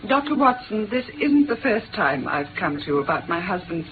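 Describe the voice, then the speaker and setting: An actress playing a worried, genteel Victorian wife, polite and hesitant, in an old-time radio drama. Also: female voice, medium pitch, big pitch swings, normal speed, natural voice. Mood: anxious. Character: anxious, genteel Victorian wife seeking help. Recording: noisy, tinny old radio recording.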